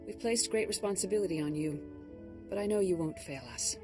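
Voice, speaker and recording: An actor playing a composed, confident Jedi leader in a video game, speaking calmly and formally. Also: male voice, high-pitched, fairly quick, music in the background, close to an everyday voice. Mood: calm, assured. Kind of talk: video game cutscene acting.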